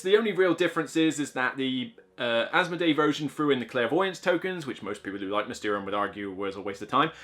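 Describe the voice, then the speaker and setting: A man's voice: mid-range voice, a bit bright. A man reviewing board games in a casual, enthusiastic, rambling way, full of fillers and asides.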